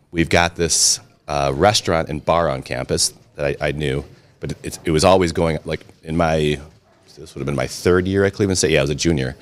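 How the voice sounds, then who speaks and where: A middle-aged man, talking about his past in a chatty, self-deprecating way during an interview. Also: very deep voice. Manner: chatty, self-deprecating humor, frequent restarts. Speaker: interview guest, restaurant and brewery owner. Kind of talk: casual storytelling interview.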